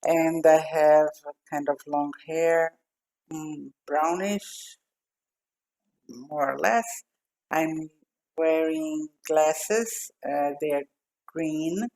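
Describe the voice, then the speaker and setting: Slow-spoken Brazilian woman in her sixties. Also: sits low, fairly even intonation, darkish tone, rough recording. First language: Portuguese. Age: 60s. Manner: slow, thoughtful speech with pauses and fillers. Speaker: guest. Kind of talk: podcast interview. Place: home office with glass wall, remote.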